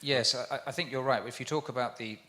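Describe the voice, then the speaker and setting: A man's voice: medium pitch, steady tone, brisk, sharp tone. A man answering audience questions on a conference panel, earnest, with frequent restarts. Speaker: university panelist.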